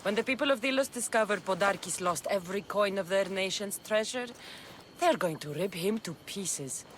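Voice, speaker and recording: A woman's voice: mid-range voice, animated, moderate pace, slight background noise, lightly acted. An actress playing a hardy, wry female Greek mercenary in a video game, speaking tersely and dryly. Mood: businesslike. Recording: loud music behind the voice. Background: music.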